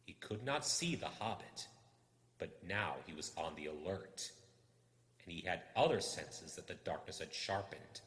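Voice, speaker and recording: A man's voice: low voice, sing-song, measured pace, a bit bright. A man reading a story aloud as dramatic, suspenseful audiobook narration with a theatrical delivery. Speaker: audiobook reader. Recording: echoey, long reverb.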